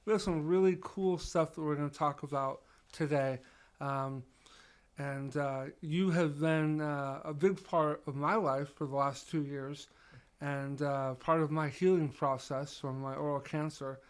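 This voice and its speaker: A man's voice: fairly high pitch, fairly even intonation, unhurried, clean tone. A man hosting a podcast in a conversational interview style. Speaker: podcast host.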